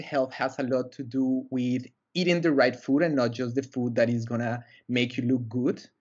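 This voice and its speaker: A man's voice: mid-range voice, muffled recording. A young man from Colombia, a guest on a video-call interview, talking earnestly with many fillers and restarts. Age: young adult. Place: small room indoors. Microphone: headset on a video call.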